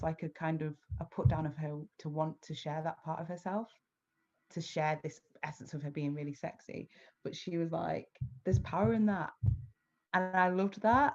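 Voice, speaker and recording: Low-voiced thoughtful woman poet. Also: darkish tone. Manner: thoughtful, halting, with fillers. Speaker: poet and guest. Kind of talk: spoken-word poetry and reflective conversation. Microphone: video call. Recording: dull lo-fi audio.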